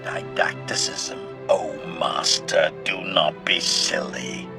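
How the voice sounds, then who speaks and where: An actor voicing a cold, bloodthirsty but loyal assassin droid in a video game, speaking formally and deadpan through a robotic filter. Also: male voice, a bass voice, slow, vocal fry, heavily acted. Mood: loyal, insistent. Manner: formal, clipped, announces each statement with a label. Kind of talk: scripted video game acting. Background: background music.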